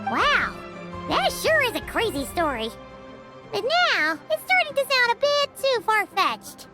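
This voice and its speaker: A woman's voice: very high-pitched, very animated, a little slow, heavily acted. A woman voicing a small, perky, boastful fairy companion in a video game, who talks about herself in the third person. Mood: curious doubt. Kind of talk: animated video game voice acting. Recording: music behind the voice.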